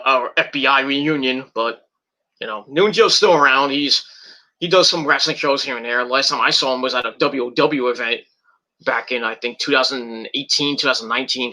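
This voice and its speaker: A man's voice: mid-range voice, pushed and bright, low-quality recording. A middle-aged man with a cold and a tickling cough, rambling casually in a vlog with many fillers and restarts. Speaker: wrestling video reviewer.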